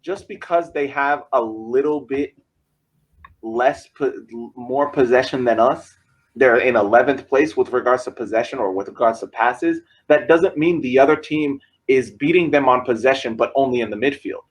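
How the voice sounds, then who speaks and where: Husky-voiced man arguing soccer stats. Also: medium pitch, normal speed, rough recording. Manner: argumentative, talks over others, repeats phrases. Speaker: podcast co-host. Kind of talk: casual sports debate.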